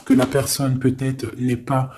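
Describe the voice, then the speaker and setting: A man with a soft-edged, mellow voice at an average pitch reads tarot in a casual, off-the-cuff style. He thinks aloud haltingly and informally, often tagging his phrases with small questions. A light but audible noise sits behind the voice. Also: monotone. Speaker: tarot card reader. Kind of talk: casual improvised tarot reading.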